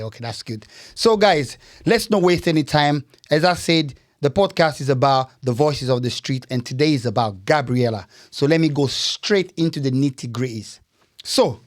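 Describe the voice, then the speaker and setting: A man hosting a podcast, interviewing in a casual style and often repeating words and phrases back. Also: mid-range voice. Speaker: podcast host.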